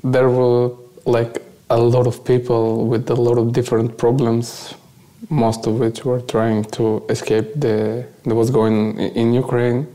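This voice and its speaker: A man from Bulgaria with a Bulgarian accent, giving a conversational, halting first-person account as an interview guest. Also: mid-range voice, little pitch movement, warm tone.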